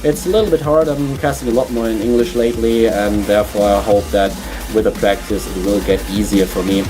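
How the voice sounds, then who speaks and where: A man's voice: on the low side, fairly even intonation, brisk, music in the background. A man from Germany with a German accent, casting an esports stream on his own in a small studio, chatty with many fillers and restarts. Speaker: esports caster. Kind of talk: solo esports commentary.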